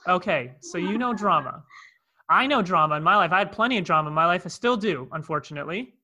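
Man, Canadian accent, coach, bright voice, slightly high, earnest podcast storytelling. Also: lively intonation, fast, highs cut off. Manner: earnest, storytelling with many asides. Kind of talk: podcast conversation. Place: in Cape Town, South Africa.

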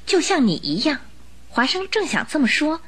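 A woman narrating a scripted radio drama in an expressive storytelling style. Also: high-pitched, normal speed. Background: music.

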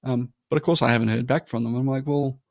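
A man's voice: mid-range voice, steady tone, fast talker, gravelly, a little mellow, no room sound, studio quality. A man talking casually as an interview guest, emphatic, often checking in with a short "right?" after his points. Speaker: podcast guest. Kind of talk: casual conversational interview talk.

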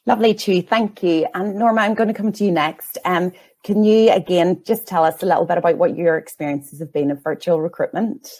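A woman hosting a careers webinar panel, prompting each panelist politely and briskly. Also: lowish voice, a little mellow. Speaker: host, careers adviser.

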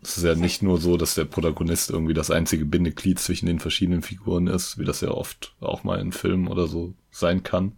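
A man with a very deep voice talks in a relaxed, agreeable way about a film. His voice has a gravelly rumble. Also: quick pace, few pauses. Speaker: podcast co-host. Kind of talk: casual film discussion.

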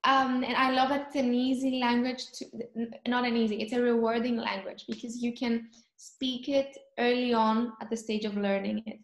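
A young woman from Cyprus, chatting in an interview and often pausing to search for words. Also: fairly high pitch, level tone, reverberant. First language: Greek. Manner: thoughtful, searching for words, frequent ums. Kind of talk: casual chat.

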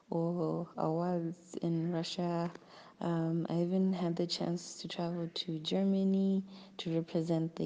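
A woman's voice: lowish voice, gravelly, warm tone, highs cut off. A young woman, an engineering graduate, answering interview questions in a chatty way, often searching for words.

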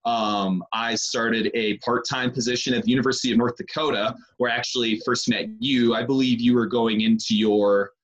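A man, a strength coach talking through his career in a casual interview, easygoing and full of pauses. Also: medium pitch, fairly even intonation, fairly bright tone, dull audio. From British Columbia, Canada. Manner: easygoing, unhurried, lots of pauses. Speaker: strength and conditioning coach, guest.

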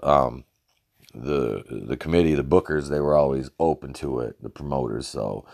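A man's voice: gravelly, darkish tone. A man hosting a podcast, telling rambling, swear-filled stories about his time as a ring announcer, with lots of fillers and restarts, recorded on a cheap home microphone. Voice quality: very deep voice. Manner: laughs at his own stories. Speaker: podcast host and former wrestling ring announcer. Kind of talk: casual podcast storytelling.

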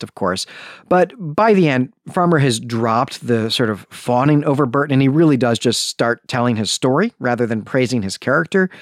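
A man's voice: on the high side, dry recording, clean studio sound. A man hosting a podcast, giving a review in an enthusiastic, emphatic and conversational way. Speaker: podcast host. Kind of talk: book review podcast monologue.

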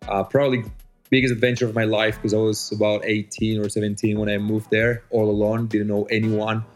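Low-voiced Colombian man, easygoing. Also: flat delivery, fairly quick, soft background music. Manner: friendly, with fillers and restarts. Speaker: guest. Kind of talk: casual interview answers.

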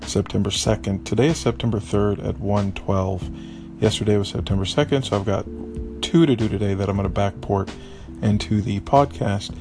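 A man with a slightly raspy voice, talking casually on his daily podcast and animatedly imitating stilted textbook phrases. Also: normal speed, music in the background. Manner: animated, mimics voices with high pitch jumps. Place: lives abroad in Vietnam.

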